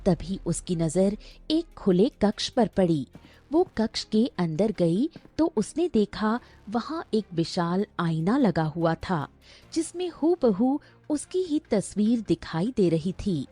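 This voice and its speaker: Slow, expressive woman storyteller. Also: medium pitch, husky, faint music behind. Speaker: narrator. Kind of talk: dramatic scripted story narration.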